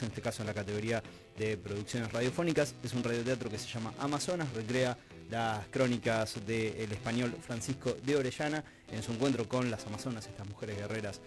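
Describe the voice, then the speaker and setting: Man, Argentine Rioplatense accent, radio host, conversational, reflective phrasing, mid-range pitch, music in the background. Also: poor audio. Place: radio studio.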